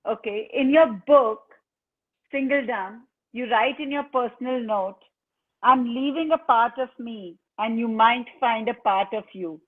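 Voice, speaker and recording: Slow-speaking woman host. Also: fairly high pitch. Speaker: interview host. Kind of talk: book interview. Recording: tinny phone-like audio.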